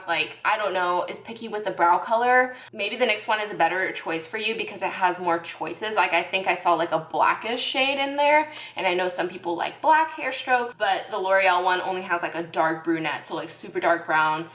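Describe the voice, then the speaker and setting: A woman's voice: medium pitch, a bit bright. A young woman casually reviewing beauty products, chatty and self-correcting, with lots of "like"s. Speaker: beauty vlogger. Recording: echoey room.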